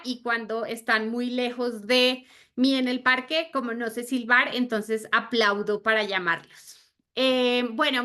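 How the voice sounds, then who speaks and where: Woman in her 30s from Argentina, chatty trainer, bright and a bit high, on a video call. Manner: friendly, chatty presenter with fillers. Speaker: sales trainer. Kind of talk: online training session.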